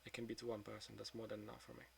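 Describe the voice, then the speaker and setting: A man of twenty-two speaking in a frank, subdued confessional monologue, swearing often and trailing off into quieter mutters. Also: lowish voice, completely flat delivery, very fast, creaky, slight background noise. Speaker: vlogger.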